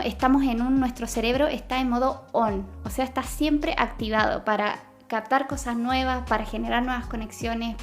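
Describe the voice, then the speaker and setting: A woman with a Chilean accent explaining brain science in a casual vlog, chatty and full of fillers and restarts. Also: high voice. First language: Spanish. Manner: chatty, many fillers and self-corrections. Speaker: science vlogger.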